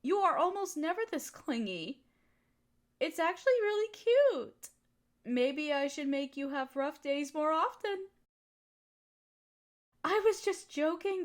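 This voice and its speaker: Casting: actress as affectionate, softly dominant female partner for intimate audio roleplay; high, very animated, teasing and comforting. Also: full character voice. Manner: flirty, soothing, playfully teasing.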